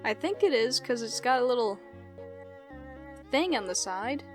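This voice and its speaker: A woman's voice: a little high, expressive, measured pace, over music. A woman in her twenties chatting casually as a YouTuber in a reaction vlog. She laughs often, rambles and pokes fun at herself. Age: 20s. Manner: giggly, rambling, self-deprecating.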